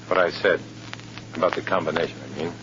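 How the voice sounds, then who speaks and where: An American actor playing a wary undercover agent in an old-time radio drama, clipped and hard-boiled. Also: male voice, deep voice, fairly even intonation, quick pace, background noise, natural voice. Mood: cool, guarded. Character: wary, cool undercover agent posing as a communist. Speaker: lead actor and narrator. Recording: muffled old radio recording with music.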